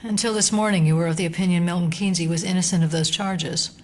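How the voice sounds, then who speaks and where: An actress playing a composed, determined federal agent who sounds serious and uneasy, in an audio drama. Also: female voice, lowish voice, steady tone, fast talker, a little mellow, close to an everyday voice.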